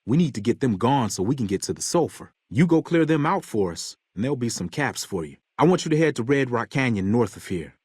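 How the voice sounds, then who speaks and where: An American actor playing a bitter, hot-tempered convict gang leader in a video game, gruff, profane and commanding. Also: male voice, mid-range voice, big pitch swings, brisk, hardly any pauses, a little mellow, no echo, studio quality. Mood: businesslike. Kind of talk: video game character dialogue.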